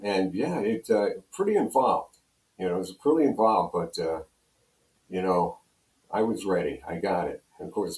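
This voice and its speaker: A man's voice: lowish voice, a little mellow. An American man in his seventies telling stories in a casual, rambling way as an interview guest, often repeating his phrases. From Pennsylvania, United States. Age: 70s. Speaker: interview guest, radio program director and on-air personality. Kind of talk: casual storytelling reminiscence. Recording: roomy, echoing room sound.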